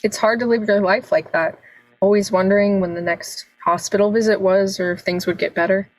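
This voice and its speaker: A woman plays a bereaved, forgiving woman in a radio drama. Her voice is of middle pitch. She sounds weary and consoling. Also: steady tone, fairly quick, darkish tone, no room sound, faint music behind, natural voice, rough recording. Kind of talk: radio play acting.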